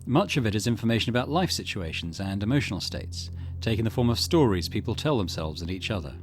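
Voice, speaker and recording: A man voicing a calculating, ambitious tech executive in a visual novel, speaking in a measured, formal, lecturing way. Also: on the low side, very animated, faint music behind. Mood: cool, explanatory.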